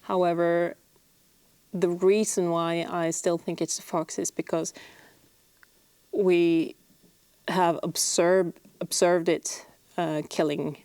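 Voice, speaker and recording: Soft-spoken Norwegian woman, slow and hesitant. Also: fairly low pitch, no room sound. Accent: Norwegian, mixed American and British. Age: middle-aged. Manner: soft-spoken, reflective, hesitant with many fillers. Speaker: farm vlogger answering viewer questions. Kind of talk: casual Q&A to camera.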